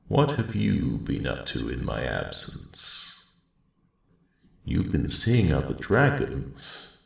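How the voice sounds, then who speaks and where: Casting: aged, kindly dragon for ASMR audio drama. Very low, gravel-thick voice, unhurried, courteous and thoughtful. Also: male voice, echoey room, exaggerated voice. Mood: warm, welcoming, then amused, curious. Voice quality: deep, gravelly rumble. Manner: measured, formal, gentle, thoughtful phrasing. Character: ancient, kindly, wise old male dragon, weary and hopeful. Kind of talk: ASMR roleplay audio drama, spoken to the listener.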